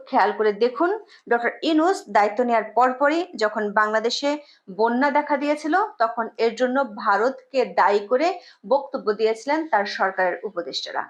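A woman reads scripted news analysis in a formal, explanatory way, speaking directly to viewers. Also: high voice.

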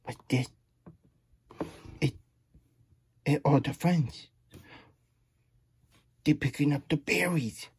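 A man voicing an excitable, childlike hedgehog plush who reads a picture book and adds chatty asides in broken, third-person grammar, husky and breathy. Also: a little high, slow pace, pauses often, exaggerated voice. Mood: curious. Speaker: storytime host. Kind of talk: character read-aloud with chatty asides. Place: small room indoors at a table.

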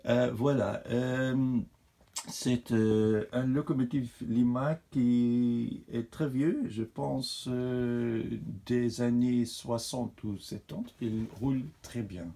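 A man for whom French is not his first language, hosting a model railway channel and talking in a casual, halting way with many fillers. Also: mid-range voice, fairly even intonation, mellow. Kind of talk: casual hobby show-and-tell. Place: indoors beside a model railway layout.

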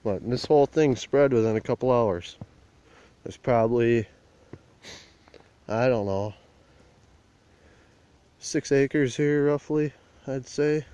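A man's voice: mid-range voice, takes it slowly, pauses often, warm tone, clear, resonant tone, muffled recording. A man vlogging on a phone as he walks a burnt field outdoors, talking in a plain, rambling rural way. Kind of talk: casual walk-and-talk vlog. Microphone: handheld phone camera while walking.

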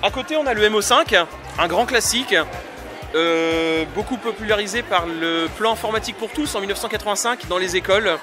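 A man's voice: high-pitched, fairly quick, over music. Chatty man explaining old computers. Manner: explanatory, many fillers and restarts. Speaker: retro computer exhibitor. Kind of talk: casual explanation at a convention stand. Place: busy convention hall stand, indoors. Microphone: handheld recorder held by the interviewer.

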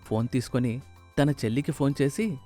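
A man's voice: mid-range voice, fairly quick, warm tone, faint music behind. A man narrating a scripted story as its narrator.

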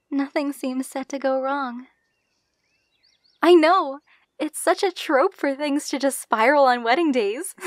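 A woman's voice: high-pitched, no echo, studio quality. A woman playing an affectionate, playful young bride in an intimate ASMR roleplay, speaking tenderly with a giggly, teasing manner.

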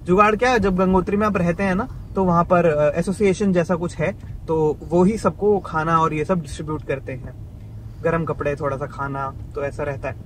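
A man's voice: a little high, fairly even intonation, fairly quick, slight background noise, rough recording. A man narrating his own travel vlog in a chatty, thinking-aloud way, mixing in English words. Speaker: travel vlogger. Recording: background music under the voice.

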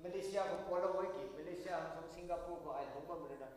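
High-voiced male interpreter in an echoey hall. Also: quick pace, a little mellow. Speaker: church interpreter. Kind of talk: consecutive interpreting of a sermon.

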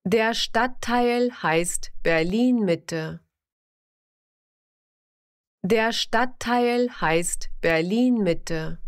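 A woman slowly reading simple example sentences for a language lesson, pronouncing each word carefully and repeating every sentence. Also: on the high side. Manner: careful, evenly paced, clearly enunciated.

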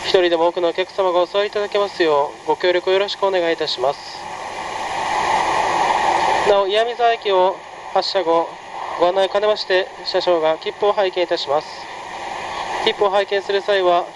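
A man's voice: high voice, steady tone, a little fast. A man working as a train conductor, making a formal, courteous live announcement to passengers. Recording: noisy train PA. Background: running train and ventilation noise.

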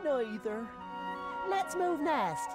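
An agreeable female swallow in a cartoon. She drawls very slowly with a lively melody, and music plays loudly behind her. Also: sits high, full character voice. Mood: fed up. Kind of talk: animated cartoon acting. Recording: loud background music.

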